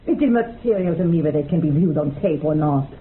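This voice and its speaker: A woman's voice: sits low, lively intonation, fast. Solemn mystic woman, tinny sound. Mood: firm, convinced. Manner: incantatory, grandly poetic. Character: solemn, unshakeably convinced female mystic. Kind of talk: radio drama acting. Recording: tinny narrow-band radio sound, faint noise.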